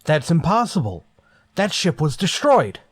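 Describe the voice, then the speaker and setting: Stern, weary starship captain. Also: male voice, fairly high pitch, very animated, measured pace, breathy edge, dry recording, heavily acted. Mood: urgent, commanding. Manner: clipped, authoritative, dry. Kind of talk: radio sci-fi drama acting.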